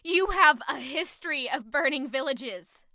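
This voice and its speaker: Fantasy audio drama: stubborn betrayed young refugee played by a woman, measured, intense, accusatory, piercingly bright, dry recording. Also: high voice, lively intonation, no echo, full character voice. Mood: indignant. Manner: intense, accusatory, emotionally charged.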